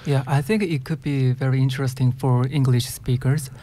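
A man's voice: medium pitch, fairly even intonation, a little fast, mellow. A man from South Korea with a Korean accent, a native Korean speaker, answering interview questions as a singer-songwriter, hesitantly searching for words in English.

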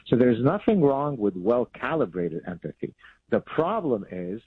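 A man in his fifties from Lebanon speaks as a guest author, explaining at length in a measured, professorial way. His voice is low-pitched and husky. It comes through dry and tinny, like a phone line. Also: no room sound. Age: 50s. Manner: measured, professorial, with many uh fillers. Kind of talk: explanatory interview answers.

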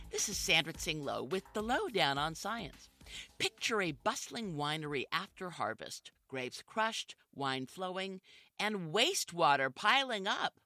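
A woman's voice: on the low side, pushed and bright, no echo. A woman hosting a short scripted radio science segment, delivered in a playful, theatrical way.

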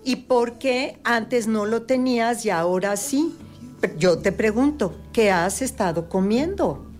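A woman's voice: on the high side, animated, slow pace, a little mellow, soft background music. A woman on a talk show, patiently explaining health topics with everyday examples. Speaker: health guest expert.

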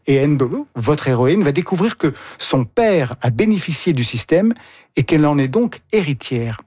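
Un homme qui anime un entretien littéraire et présente les livres avec aisance. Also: male voice, fairly high pitch, darkish tone, no echo, studio quality. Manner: articulate, presents books at length. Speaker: host. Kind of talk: literary interview.

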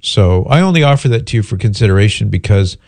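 A man hosting a call-in radio show with a head cold, sounding slightly nasal and explaining in a measured way with frequent ums. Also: on the low side, animated, darkish tone, no room sound. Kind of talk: call-in radio answering listener questions. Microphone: studio microphone.

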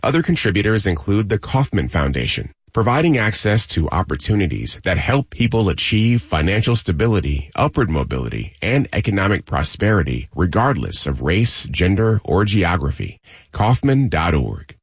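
Male announcer, very deep and husky, lively intonation, dry booth sound. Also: moderate pace, no room sound. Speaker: sponsor announcer. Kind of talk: read sponsor message.

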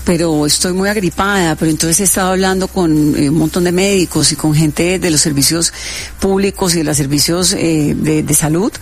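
A woman with a Colombian Spanish accent, co-hosting the radio news, talking casually while hoarse from a cold. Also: on the low side, fairly even intonation, brisk. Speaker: news co-host.

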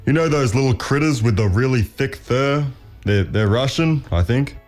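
A man's voice: medium pitch, expressive, moderate pace, a little mellow, faint music behind, natural voice. An actor playing a blunt, puzzled older male wallaby shopkeeper in a children's story. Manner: blunt, fumbling for words.

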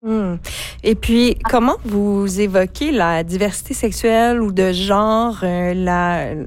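A woman hosting a radio interview, asking her guest questions in a measured way with some fillers. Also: medium pitch.

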